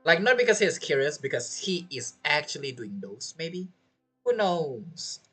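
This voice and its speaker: Rambling game streamer, lively pitch. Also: moderate pace, breathy edge, soft background music. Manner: rambling, thinking aloud. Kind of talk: casual live gameplay commentary.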